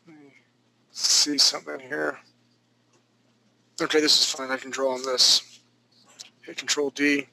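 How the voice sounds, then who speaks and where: A man's voice: medium pitch, level tone, measured pace, creaky, long pauses, bright, forceful tone, poor audio. A man in his thirties teaching a live drawing class, talking through each stroke with chatty joking asides. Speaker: drawing instructor.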